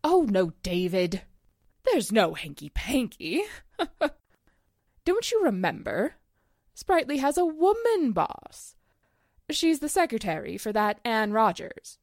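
A woman's voice: on the high side, very animated, slow, pauses often, darkish tone, dry recording, studio quality, full character voice. A woman acting a chatty, coy small-town 1940s housewife who loves gossip, in a radio drama. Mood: playful, gossipy.

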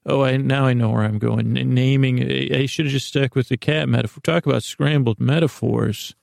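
A middle-aged man hosting a podcast with a silly, halting monologue that meanders and repeats itself as it drifts into tangents. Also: medium pitch, fairly even intonation, creaky, darkish tone, no room sound, studio quality. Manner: silly, whimsical. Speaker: podcast host. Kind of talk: rambling comedic monologue.

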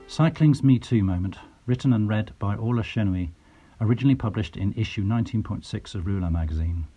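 Male podcast host, low husky mellow voice, upbeat scripted ad read. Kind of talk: scripted ad read and episode intro. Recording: dull lo-fi recording.

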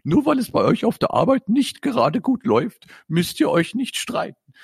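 A man voicing a loving mother who speaks in a gentle, soothing way. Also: high-pitched, sing-song, normal speed, creaky, breathy edge, dry recording, clean studio sound, pitched above the performer's own voice, exaggerated voice.

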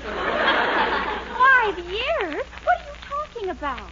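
A woman's voice: very high voice, big pitch swings, extremely slow, a loud crowd, heavily acted. An actress playing a bewildered, exasperated girlfriend in a 1940s radio comedy. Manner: incredulous, exasperated. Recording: very noisy, muffled 1940s radio recording.

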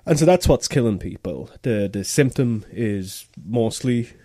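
A man's voice: mid-range voice, expressive, a little slow, dark tone, no room sound, clean studio sound. A man in casual podcast chat, explaining things hesitantly with lots of fillers and restarts.

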